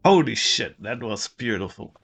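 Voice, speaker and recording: A man's voice: on the low side, expressive, moderate pace, vocal fry, music in the background. A man giving casual, off-the-cuff gameplay commentary.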